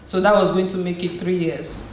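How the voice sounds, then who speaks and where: A woman's voice: lowish voice, little pitch movement, brisk. A woman from Nigeria, giving a conversational personal testimony as a guest speaker and encouraging her audience, often restarting her phrases. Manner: conversational storytelling with frequent restarts. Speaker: guest speaker at a community event. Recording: very echoey hall with faint noise.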